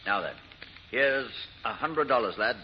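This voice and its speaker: Male actor as suave British ex-detective, commanding, clipped, expressive pitch, radio drama, muffled and heavily reverberant. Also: a little slow, close to an everyday voice. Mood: smug, commanding. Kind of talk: 1940s radio acting. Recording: hollow, noisy old radio recording, long reverb.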